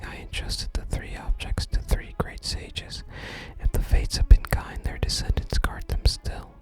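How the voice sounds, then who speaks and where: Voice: a little mellow, lightly acted. Someone doing a legendary old-time hero, grave and stately, all whispered, game music under it. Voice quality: breathy whisper. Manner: grave, archaic, stately reading. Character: solemn ancient legendary hero speaking from a gravestone inscription. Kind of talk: whispered ASMR reading of fantasy game dialogue.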